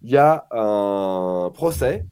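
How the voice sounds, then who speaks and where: A man's voice: lowish voice, takes it slowly, clear, resonant tone. A man in his forties, a guest on a casual podcast chat, telling stories with energy and acting out quotes. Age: 40s. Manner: animated storyteller, acts out quotes.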